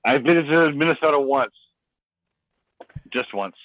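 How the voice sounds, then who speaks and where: A man's voice: on the high side, unhurried, a little hiss or hum. A man from the United States chatting casually on a live stream, blunt, teasing and grumbling. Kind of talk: casual chat. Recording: dry tinny phone-like line.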